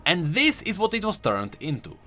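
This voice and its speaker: A man's voice: high-pitched, wildly swooping pitch, normal speed, bright, forceful tone, slight background noise. A man doing an enthusiastic, conversational voice-over commentary as a video host. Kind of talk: game lore commentary voice-over.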